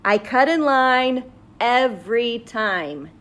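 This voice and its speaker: A grumpy little sunflower seed from a picture book, voiced by a woman reading aloud. The delivery is very slow and emphatic, defiantly stretching words, in a slightly high register. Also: animated, a bit bright. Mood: brazen confessing. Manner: emphatic, drawn-out storybook delivery.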